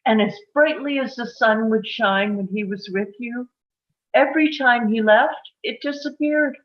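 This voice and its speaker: An actress plays a wistful small-town woman carrying an old, unanswered love. Her voice sits fairly high and she speaks earnestly and haltingly, with open feeling. The microphone sound is slightly dull. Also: close to an everyday voice. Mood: tearful, wistful. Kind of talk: table read acting.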